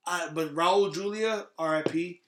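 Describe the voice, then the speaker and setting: Male podcaster, slightly high and very bright voice, blunt slangy delivery, casual chat. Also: a little slow, echoey room, slight background noise. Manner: blunt, slangy, emphatic, swearing. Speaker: podcast host. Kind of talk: casual podcast chat about video game movies.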